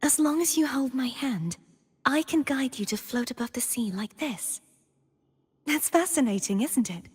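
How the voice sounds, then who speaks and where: A young woman voicing a gentle, wistful and earnest maiden knight in a video game, speaking softly in measured, formal phrases. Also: high-pitched, lively intonation, long reverb tail, exaggerated voice. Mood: warm, tender. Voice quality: breathy. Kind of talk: scripted game character voice lines.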